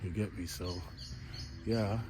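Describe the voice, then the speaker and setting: A man's voice: low-pitched, fairly even intonation, takes it slowly, noisy background. A man with a Jamaican accent, chatting casually to his viewers as a travel vlogger while walking through a hotel garden, now and then dropping into a murmur. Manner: chatty, addresses viewers as "my people", often drops to a murmur.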